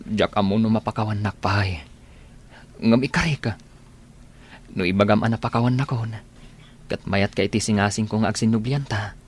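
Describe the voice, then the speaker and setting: A man in a radio drama playing a remorseful lover, pleading earnestly and haltingly for a second chance. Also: lowish voice, husky.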